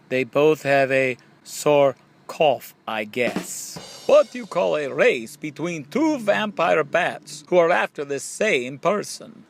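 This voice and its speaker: A man with a distinctly creaky, gravelly voice reads a book of riddles aloud. He takes his time, posing each question in a playful way and stretching out the punchline. His pitch sits in an ordinary male range. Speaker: audiobook reader. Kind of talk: scripted reading of question-and-answer jokes and riddles.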